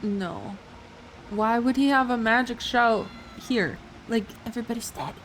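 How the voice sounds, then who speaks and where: A woman's voice: fairly high pitch, measured pace, low-quality recording. A woman streamer giving casual, teasing live reactions to a game, often giggly and repeating words for effect. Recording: faint noise on livestream. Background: game audio.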